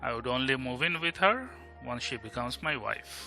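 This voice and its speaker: A man's voice: mid-range voice, lively intonation, normal speed, creaky, pushed and bright, faint music behind, exaggerated voice. A man with an Indian Bengali accent playing a blunt, sardonic, protective best friend in an audio drama. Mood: matter-of-fact.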